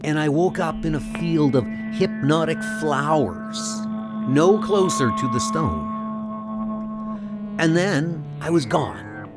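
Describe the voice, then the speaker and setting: A man playing a shaken, earnest superhero in a comic dub, telling his ordeal in a halting, intense way. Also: a little high, expressive, over loud music. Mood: shaken, recounting. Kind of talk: dramatic comic dub monologue.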